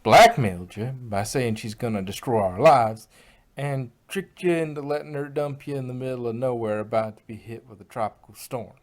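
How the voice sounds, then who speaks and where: Guy doing a feisty country pony gal, folksy, plain spoken, medium pitch. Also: expressive, normal speed, natural voice. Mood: angry. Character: plain-spoken country farm mare, feisty but sensible. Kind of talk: fan fiction read-aloud.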